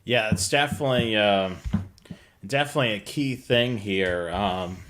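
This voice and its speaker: A man's voice: fairly low pitch, moderate pace, fairly bright tone, slight background noise. A middle-aged man with an American accent, presenting casually as host of a hobby livestream from a room at home. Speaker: host of a radio scanner show. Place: indoor home room on a video call.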